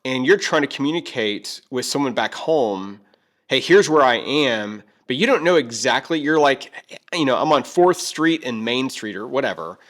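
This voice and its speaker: A man's voice: mid-range voice, lively intonation, normal speed, a bit bright. A man hosting a product overview in a casual, chatty way, often repeating his phrases. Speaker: host of a gear review video.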